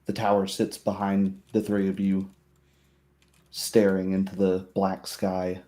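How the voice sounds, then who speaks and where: A man with a low, very mellow voice narrates a fantasy roleplay as game master. He tells it dramatically, in measured phrases with pauses. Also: monotone.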